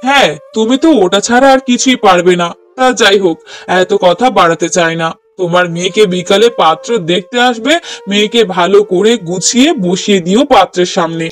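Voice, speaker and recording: A middle-aged cartoon father, played by a man with an unusually high voice for a man. He is stern and exasperated with his family. He lectures firmly and reproachfully. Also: a little fast, fairly bright tone. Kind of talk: Bengali moral-story cartoon dubbing.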